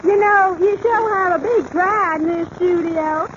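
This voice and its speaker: Very high girlish voice, naive belle, coy, gushing, old radio comedy, muffled noisy recording. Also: unhurried. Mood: girlish, sweet. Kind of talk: 1930s-40s radio comedy acting.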